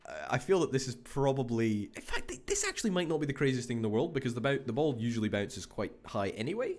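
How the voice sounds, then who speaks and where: A man's voice: mid-range voice, sing-song, quick pace, a little mellow. A man hosting a gaming video, chatting casually with wry, self-deprecating remarks. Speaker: gaming channel host. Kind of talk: casual let's-play commentary.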